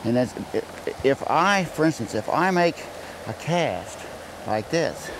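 A man's voice: medium pitch, sing-song, unhurried, background noise. An older man, a fly fishing guide, explaining casting while wading in a stream, in a folksy way that repeats words. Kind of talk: outdoor fishing instruction. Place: wading in a wooded trout stream outdoors. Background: faint stream and outdoor ambience.

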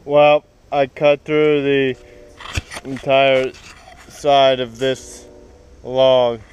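A young man explaining his tree cutting as he goes, in a halting casual way with many fillers and restarts, recorded outdoors in a wooded yard on an action camera. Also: mid-range voice, flat delivery, a little mellow, a little hiss or hum, rough recording. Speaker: vlogger. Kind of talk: casual explanation while working. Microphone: action camera on the ground.

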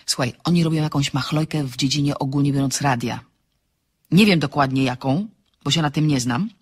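An actress playing a bold amateur sleuth in a radio drama, with a husky voice and brisk, self-assured delivery. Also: female voice. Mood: excited. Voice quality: low, husky. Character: excitable, bold amateur sleuth woman, composed when bluffing.

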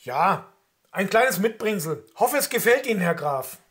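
A man's voice: sits high, sing-song, measured pace, a bit bright, exaggerated voice. An actor playing a polite, deferential male doctor, speaking courteously and formally in an audio drama. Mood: ingratiating. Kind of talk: German audio drama acting.

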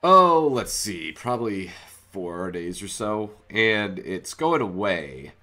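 A man's voice: on the low side, big pitch swings, slow, bright, forceful tone. A man with a congested, cold-stuffed voice casually commenting on his own game as host, thinking aloud as he plays. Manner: chatty, thinks aloud while playing. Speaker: gaming channel host. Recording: faint music bed underneath.

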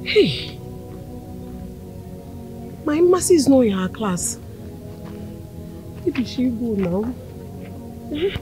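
A woman's voice: a little high, sing-song, slow, long pauses, over music, rough recording. An actress playing a frantic mother pleading for her missing daughter, crying out to God. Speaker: worried mother. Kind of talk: film drama acting.

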